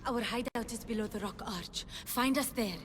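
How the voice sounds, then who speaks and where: An actress playing an idealistic, headstrong young rebel daughter in a video game. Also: female voice, fairly high pitch, normal speed, big-room sound, slight background noise, natural voice. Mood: earnest. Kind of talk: video game dramatic acting.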